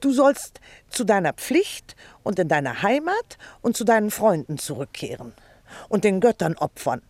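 An actress playing a big, nagging, self-pitying wife in a theatrical radio play, scolding and indignant. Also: female voice, medium pitch, sing-song, unhurried, darkish tone, dry recording. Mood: scornful, scolding. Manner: scolding, indignant, dramatic complaining.

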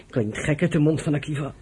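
An actor playing an intense, impatient young resistance fighter with a rough voice and restless urgency in a radio drama. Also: male voice, a little high, a little mellow.

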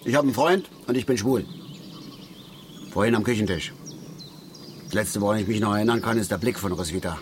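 An actor playing a middle-aged father and keen angler in a radio drama, blunt and aggrieved, speaking haltingly and repeating his words. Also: on the low side, slight background noise, lightly acted. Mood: agitated, urgent. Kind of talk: naturalistic German radio drama acting.

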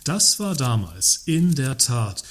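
A mock-polite, needling works councillor. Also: male voice, medium pitch, big pitch swings, slow. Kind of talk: satirical radio play dialogue.

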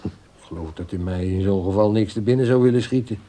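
A man's voice: lowish voice, fast talker, mellow, natural voice. An actor playing a prim, puzzled, disapproving man in a radio drama, questioning with disdain. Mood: prim disgust. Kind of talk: naturalistic radio drama acting.

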